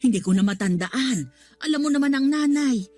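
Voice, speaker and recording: Placating mother, swooping expressive pitch. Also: brisk, faint music behind. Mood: defensive, apologetic. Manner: placating, soothing. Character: soothing, evasive middle-aged widowed mother. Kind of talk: Tagalog radio drama acting.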